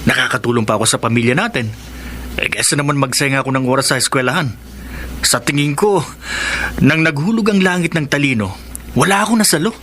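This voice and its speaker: Actor doing 20 yr old big brother, radio drama, dutiful but teasing, slightly high voice. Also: male voice, fairly quick, fairly bright tone, slight background noise, lightly acted. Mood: self-deprecating. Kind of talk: Tagalog radio drama acting.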